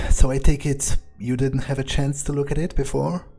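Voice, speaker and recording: Man playing a mellow-voiced, irritable vampire. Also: medium pitch, flat delivery, normal speed, gravelly, slightly rough. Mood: impatient. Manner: muttering, blunt, hesitant thinking aloud. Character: irritable, foul-mouthed, cynical male vampire errand-runner. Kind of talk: in-character video game roleplay.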